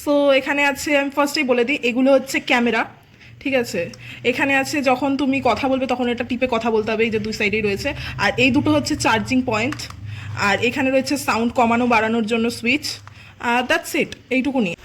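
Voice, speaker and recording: High-voiced woman reviewing. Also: fast, fairly bright tone, slight background noise. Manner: explanatory, addressing viewers directly. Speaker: vlog host and product reviewer. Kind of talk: casual product review chat mixing in English words.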